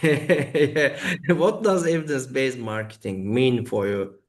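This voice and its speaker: A man hosting an interview, asking questions in careful, halting English with many pauses. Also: mid-range voice. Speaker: host, interviewer.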